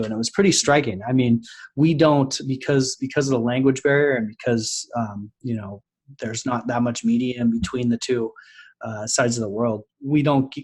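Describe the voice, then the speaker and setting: A man hosting a podcast, speaking casually and haltingly with many pauses and restarts. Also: mid-range voice, breathy edge.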